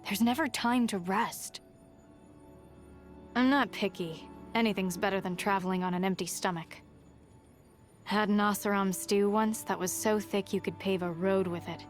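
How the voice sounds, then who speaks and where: An actress playing a guarded, resolute young huntress in a video game, plain-spoken and understated. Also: female voice, medium pitch, steady tone, moderate pace, soft background music, close to an everyday voice. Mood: reflective, guarded. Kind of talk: video game character voice lines.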